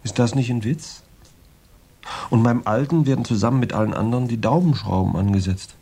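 An actor playing a boastful, tough former heavyweight boxer in a radio crime drama, rambling in slangy, gravelly talk with swagger. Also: male voice, mid-range voice, brisk, dark tone. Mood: chatty, fond. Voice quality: gravelly, husky.

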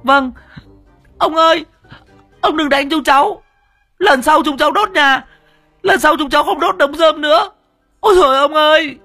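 Brief: terrified small boy, shrill high wailing pleas. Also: normal speed, long pauses, sharp tone, faint music behind, heavily acted. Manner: wailing, begging. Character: frightened young boy pleading, crying.

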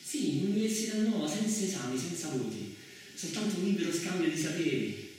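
A man's voice: high voice, lively intonation, brisk, a little mellow. A man on stage playing a restless, idealistic student dreaming of revolt, hesitant and repeating himself with passion. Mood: dreamy. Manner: hesitant, repetitive, impassioned. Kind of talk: one-man theatre monologue acting. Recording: echoey hall from far off, faint noise.

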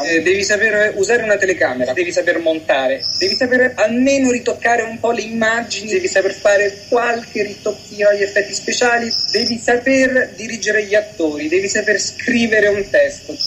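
A man's voice: sits high, fairly bright tone, a little hiss or hum, poor audio. A man interviewed as a filmmaker, listing all the skills the job demands in an exasperated run. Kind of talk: documentary testimony.

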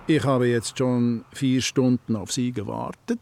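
Unhurried, haggling taxi driver. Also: male voice, mid-range voice, steady tone, creaky, darkish tone. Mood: polite, calculating. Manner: polite, deliberate, bargaining. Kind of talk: radio play dialogue.

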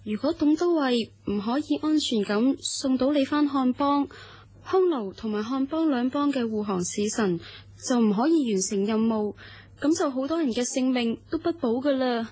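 A woman acts out a young maidservant for radio drama. Her voice is high, with an anxious, fussing manner and the deferential pleading of someone devoted to her mistress. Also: lively intonation, normal speed, mellow, breathy edge. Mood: pleading, earnest. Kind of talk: Cantonese radio drama acting.

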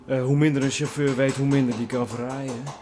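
An actor playing a calm, matter-of-fact male smuggler and truck driver in a radio drama. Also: medium pitch, brisk, slight background noise, close to an everyday voice. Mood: businesslike.

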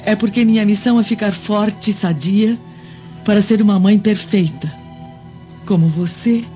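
A woman acts a protective, noble Roman mother in a radio play. Her voice is soft-toned and dark, earnest, with a Brazilian accent. Faint music sits under slightly muffled sound. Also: mid-range voice, natural voice. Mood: firm, indignant. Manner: earnest, emotive, measured. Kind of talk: radio drama acting. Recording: dull lo-fi audio with music underneath.

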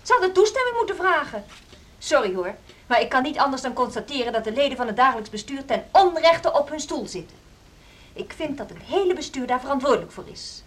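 A combative teacher in a radio play. Her voice is high and very mobile in pitch. She is formal and insistent, giving no ground. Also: sing-song, a little fast. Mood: firm. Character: militant, unyielding woman teacher pushing her case. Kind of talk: Dutch radio drama acting.

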